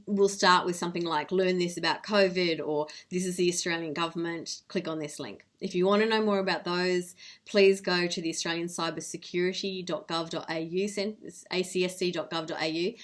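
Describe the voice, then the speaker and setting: A woman's voice: fairly low pitch, a bit bright. A woman giving an informal instructional presentation as a professional, explaining things in a plain-spoken, direct way. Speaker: legal professional presenting security tips.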